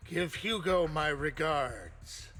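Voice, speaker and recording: An actor playing a scheming, greedy older man who speaks slowly and mockingly, in game audio with music behind. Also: fairly high pitch, lively intonation, a bit bright, exaggerated voice. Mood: menacing. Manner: slow, mocking, menacing.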